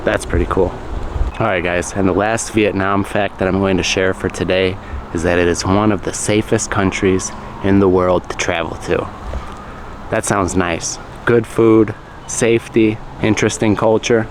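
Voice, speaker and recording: An American man speaking English as his native language, eating and talking slowly and clearly while he explains words for learners. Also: low voice, steady tone, background noise. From United States. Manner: slow, deliberate, explains words as he goes. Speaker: language teacher and vlogger. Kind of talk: slow, clear English lesson vlog.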